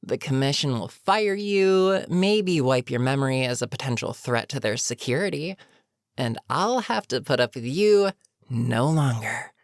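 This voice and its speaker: Woman acting a low-voiced, weary older supervillain. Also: expressive, unhurried, dry recording, studio quality, exaggerated voice. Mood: smug, menacing. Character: older, weary supervillain, menacing yet protectively concerned. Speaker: voice actor in audio roleplay. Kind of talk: dramatic audio roleplay monologue.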